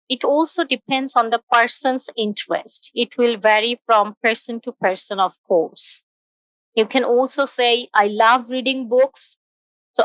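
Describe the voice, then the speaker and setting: A young woman, teaching a scripted English lesson slowly and carefully, repeating example sentences. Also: a little high, fairly bright tone, no room sound, poor audio.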